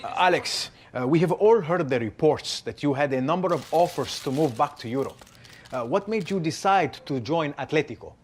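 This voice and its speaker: A man's voice: on the high side, animated, moderate pace, a little hiss or hum, natural voice. A man playing a probing sports journalist in a game cutscene, hesitant at first but pointed. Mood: inquiring. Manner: hesitant openers, pointed questioning. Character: probing male sports journalist at a press conference. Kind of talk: video game cutscene acting.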